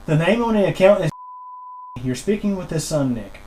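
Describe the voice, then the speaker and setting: A man explaining a technical complaint as a customer on a phone call, wordy and halting, often repeating himself. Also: sits high, lively intonation, mellow, slight background noise. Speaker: customer calling internet support, channel host.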